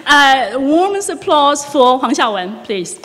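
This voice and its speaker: Slow-talking woman introducing a speaker. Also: high-pitched, sing-song. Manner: informal, hesitant, laughing at herself. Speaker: forum moderator. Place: large lecture hall. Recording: long hall reverb with audience noise.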